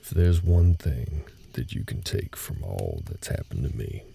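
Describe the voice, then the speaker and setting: A man plays a rock-bottom deep cowboy with a heavily gravelled voice. He is a weary, gentle ranch hand in an intimate audio drama, talking haltingly and folksily over night ambience. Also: very animated, exaggerated voice. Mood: earnest, advising. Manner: halting, low-key, folksy drawl-like phrasing. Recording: night ambience noise behind the voice.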